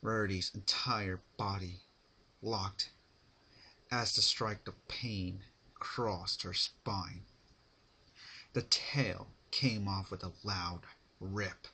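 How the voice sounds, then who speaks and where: A man narrating a story as a dramatic reading, in deliberate, halting phrases. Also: low voice, bright, forceful tone, a little hiss or hum. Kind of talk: dramatic fan fiction reading.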